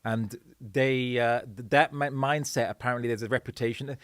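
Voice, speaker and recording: A man's voice: medium pitch, steady tone, moderate pace, fairly bright tone, clear, resonant tone, no room sound. Hesitant British-based man, dry close sound. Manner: hesitant, many fillers and restarts. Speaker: podcast host. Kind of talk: conversational interview.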